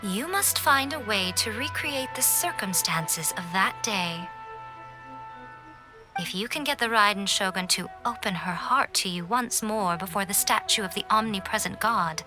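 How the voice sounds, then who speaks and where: A woman's voice: mid-range voice, expressive, normal speed, music in the background, natural voice. A woman voicing a sly fox-spirit shrine priestess in a video game, silky, teasing and knowing.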